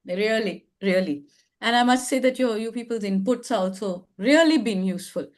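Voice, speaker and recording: A woman hosts an advice chat over a video call. Her voice sits a little high, and she talks in a reflective, affirming way, often restarting her sentences as she thinks. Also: animated, moderate pace. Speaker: advice show host.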